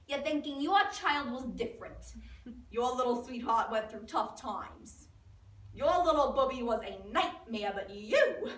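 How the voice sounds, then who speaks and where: An effusive, nervous suburban mom played by a woman in a comic stage monologue. Her voice is high and she takes her time, pausing dramatically for emphasis. The sound is echoey and distant from the microphone. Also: fairly bright tone, full character voice. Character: nervous, proud, over-bubbly middle-aged suburban New York mother. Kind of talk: theatrical comic monologue, speech-competition dramatic interpretation.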